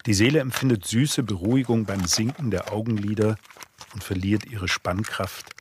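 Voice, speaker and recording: A man giving a measured, formal literary reading of a philosophical text for a radio play. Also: lowish voice, background noise.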